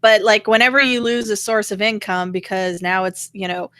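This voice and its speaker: A woman's voice: medium pitch, moderate pace, a bit bright, no room sound. A woman co-hosting a casual political gossip chat, talking with dry, sardonic humor. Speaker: show co-host.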